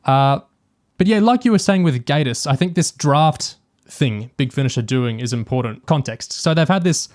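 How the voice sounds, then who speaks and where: A man's voice: mid-range voice, expressive, moderate pace, a little mellow. A man co-hosting a podcast, talking casually and analytically with a fan's enthusiasm. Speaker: podcast co-host. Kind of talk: casual discussion of audio drama and TV.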